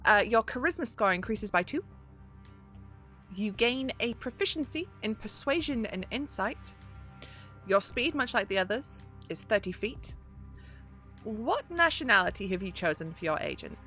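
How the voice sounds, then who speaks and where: Woman game master, bright clear voice, upbeat, quick to laugh, reads rules aloud, faint music behind. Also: mid-range voice, very animated. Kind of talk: running a tabletop game over a stream.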